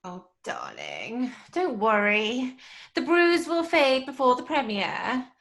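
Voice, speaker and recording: A soothing, affected woman of the stage in a horror audio drama. Her high voice swoops dramatically as she drawls slowly and theatrically. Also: wildly swooping pitch, fairly bright tone, heavily acted. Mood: cooing. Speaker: actress.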